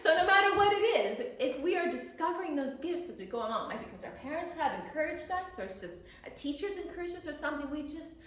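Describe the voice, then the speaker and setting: Female children's teacher, expressive and emphatic, lively high voice, very reverberant space. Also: quick pace, few pauses, a little hiss or hum. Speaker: guest storyteller and children's teacher. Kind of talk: explanatory chat for children. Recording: very echoey room.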